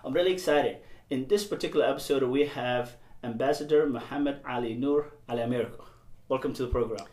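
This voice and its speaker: A man's voice: mid-range voice, quick pace, roomy sound. A man from Somalia hosting a podcast interview in a casual style, often murmuring agreement. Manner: warm affirming backchannels. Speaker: podcast host.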